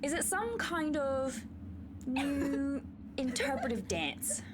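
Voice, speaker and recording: A woman's voice: sits high, expressive, slow pace, very noisy. A woman playing a fierce, sardonic younger warhorse in a comic radio play, opinionated and argumentative.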